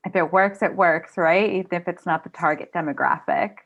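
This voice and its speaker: A woman's voice: fairly low pitch, fairly even intonation, a little fast, fairly bright tone, dull audio. A woman moderating a bookstore's virtual author event, casual and upbeat as she reads out audience questions and wraps up. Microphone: video call.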